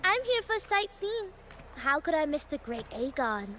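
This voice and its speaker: A woman's voice: sits high, sing-song, a little slow, noisy background. An actress playing a sly, breezy young Taoist woman in a video game, playful, teasing and cryptic.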